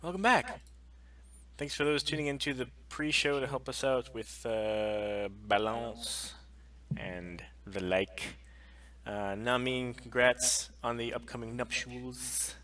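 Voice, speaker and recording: A man's voice: medium pitch, steady tone, slow pace, sharp tone. Man co-hosting a casual livestream. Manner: informal, sweary. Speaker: podcast stream co-host. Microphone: headset.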